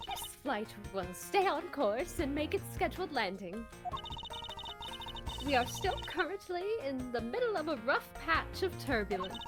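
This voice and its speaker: A polite, insistent flight attendant from a video game, played by a woman in a fan dub. The voice is high and animated, courteous and official with a dramatic edge. Music runs clearly behind. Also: normal speed. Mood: reassuring.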